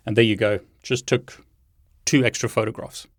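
Low, dry-sounding man demonstrating tech. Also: flat delivery, moderate pace, no room sound. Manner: explanatory, step by step. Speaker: tech video host and instructor. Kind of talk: hands-on demonstration talk.

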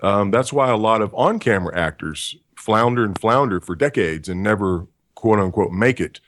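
Deep-voiced voice actor, dry humor. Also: male voice, lively intonation, moderate pace, a bit bright, slight background noise. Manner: dry joking, self-deprecating. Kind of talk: interview answers.

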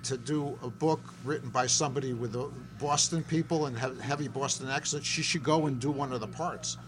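A man's voice: mid-range voice, a little fast. A man in his seventies, from Connecticut with a New York accent, chatting eagerly with friends and asking them questions. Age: 70s. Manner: chatty, exclamatory, asks the group questions. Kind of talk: casual group chat. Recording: noisy crowded room.

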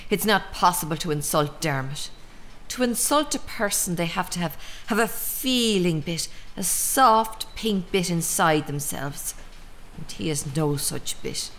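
A woman's voice: lowish voice, animated, measured pace, fairly bright tone, slight background noise. An actress with an Irish accent playing a stoic, sardonic mother in a radio drama, musing dryly. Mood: coolly scornful. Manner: musing, repetitive, dry, lilting phrasing.

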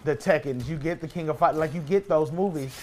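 A man's voice: on the high side, fairly quick, a little mellow. A man speaking as a podcast co-host in a casual debate, insistent and repeating himself for emphasis.

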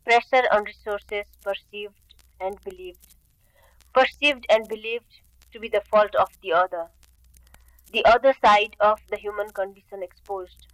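An actress playing a sombre woman poet who recites measured, repeating verse in a radio play. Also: mid-range voice, fairly even intonation, no room sound, lightly acted, low-quality recording. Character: sombre woman poet reflecting on fear and blame.

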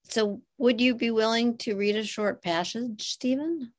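A woman's voice: on the high side, unhurried, dry recording. A woman hosting a podcast interview, asking questions conversationally.

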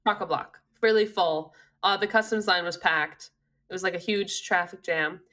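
A woman's voice: fairly low pitch, moderate pace. Congested Australian woman telling a story. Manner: animated storyteller, laughs often. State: congested, with a cough. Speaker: podcast co-host. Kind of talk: casual chat.

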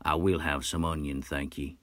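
A man giving voice to a frowning old wanderer who speaks in short, gruff words and wastes none. His voice sits low and deep, recorded dry and close. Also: level tone, normal speed, fairly bright tone, no room sound. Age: older. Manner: curt, gruff, terse.

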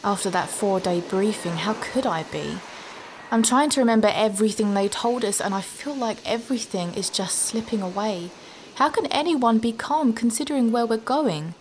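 A woman's voice: on the high side, normal speed, close to an everyday voice, low-quality recording. A woman playing a thoughtful young novice adventurer, natural and conversational, in a fantasy audio drama. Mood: uneasy. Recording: noticeable ambient background noise.

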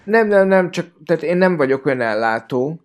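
A man in a casual podcast discussion, speaking in a hesitant, halting way and often repeating words. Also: a little high, expressive. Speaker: football fan podcast guest.

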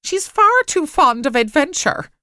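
A woman's voice: high-pitched, big pitch swings, a little slow, pushed and bright, no room sound, studio quality, pitched above the performer's own voice, full character voice. A woman reading a storybook, voicing an anxious, timid spinster sister who frets and pleads. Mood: anxious, fretful. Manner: worried, fretting.

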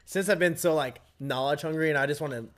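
A man's voice: a little high, quick pace. Laid-back man rambling in casual chat. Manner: loose, rambling, swears casually, jokey asides. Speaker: podcast co-host.

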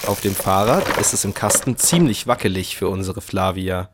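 A man's voice: deep voice, moderate pace, noisy background. A man narrating a scripted children's story as the storyteller of a radio play.

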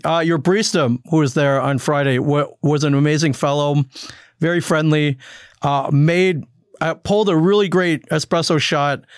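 A man's voice: fairly high pitch, clean tone, dry recording, studio quality. A man hosting a casual interview, speaking in an enthusiastic, complimentary way. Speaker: interviewer, show host.

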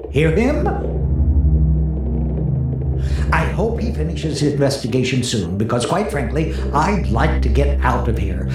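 Jumpy, rambling radio disc jockey, swooping pitch. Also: male voice. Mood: uneasy. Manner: nervous stammering, rambling to himself. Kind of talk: 1940s radio horror acting. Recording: echoey vintage 1940s radio recording with music.

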